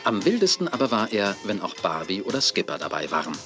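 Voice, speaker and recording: An actor narrating a children's audio drama as its storyteller. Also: male voice, fairly low pitch, sing-song, fast. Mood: storytelling. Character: storyteller of a children's radio play. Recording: music under 1980s cassette audio play. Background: music.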